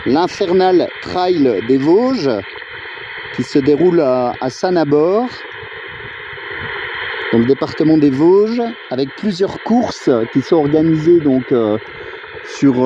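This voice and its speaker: Male radio hobbyist, slightly high voice, rambling hesitantly outdoors, clear background noise. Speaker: amateur radio operator. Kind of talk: casual radio chat and outdoor video commentary. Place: outdoors on a mountain viewpoint. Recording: noisy outdoor recording with radio sounds.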